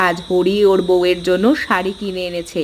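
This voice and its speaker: A woman's voice: lowish voice, little pitch movement, brisk, slight background noise, lightly acted. A woman voicing a discontented, demanding village housewife in a cartoon. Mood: sulky, demanding. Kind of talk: cartoon dialogue acting.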